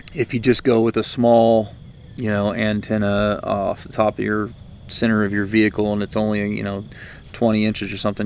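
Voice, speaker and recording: A man's voice: on the low side, creaky, a little hiss or hum. A man with an American accent explaining gear casually to viewers in a rambling, hesitant, folksy way, as the host of a video. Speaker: video host, prepper reviewer. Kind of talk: casual explanatory product talk to camera.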